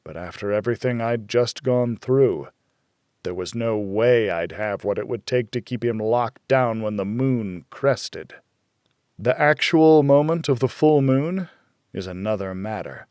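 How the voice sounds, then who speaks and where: A man reading a hardboiled first-person thriller as an audiobook narrator, in a measured, dramatic storytelling way. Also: mid-range voice, darkish tone, clean tone, dry recording, studio quality.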